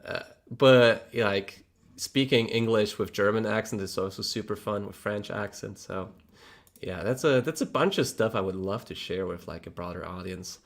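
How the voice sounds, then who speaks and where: A man from Poland speaks English with a Polish accent in a fairly low voice. He chats casually with his livestream audience, rambling, restarting words and laughing often. His talk is frank and loose, with some mild swearing. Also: normal speed. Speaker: livestream host.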